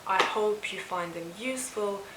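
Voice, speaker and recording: A woman with a Serbian accent giving an informal language lesson, deliberately repeating phrases for learners. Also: mid-range voice. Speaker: language teacher presenting a lesson. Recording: roomy echo with faint background hiss.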